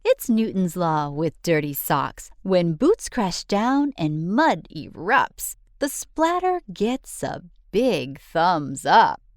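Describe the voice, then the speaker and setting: A woman reading a rhyming children's book aloud in an expressive, sing-song storyteller's delivery. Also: fairly low pitch, takes it slowly, hardly any pauses, no room sound, studio quality.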